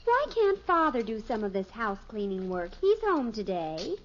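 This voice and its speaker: High-voiced indignant teenage daughter. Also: female voice, big pitch swings, moderate pace. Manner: complaining, sarcastic. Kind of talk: 1950s radio sitcom acting. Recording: muffled, faintly noisy vintage radio recording.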